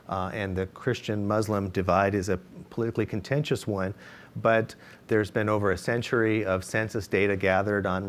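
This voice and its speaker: A man on a discussion panel, laying out research methods in a careful, explanatory way with many fillers and restarts. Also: fairly low pitch.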